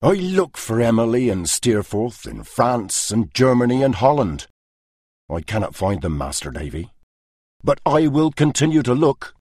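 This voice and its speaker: A man's voice: mid-range voice, sing-song, a little slow, a little mellow, no room sound, clean studio sound, voice pushed up. A man voicing a loyal, weathered older fisherman who speaks in an earnest, plain way. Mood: determined.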